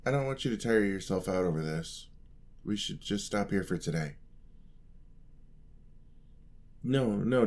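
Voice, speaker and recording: A man playing a sweet, eager young paladin apprentice in a fantasy ASMR roleplay, speaking politely and earnestly to the listener. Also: sits low. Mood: gentle, considerate. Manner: polite, earnest, gently encouraging.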